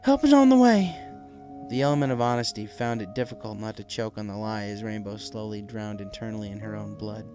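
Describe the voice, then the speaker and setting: A man's voice: lowish voice, little pitch movement, quick pace, hardly any pauses, over music. A man reading a fan fiction story aloud as narrator, in a scripted, dramatic storytelling style.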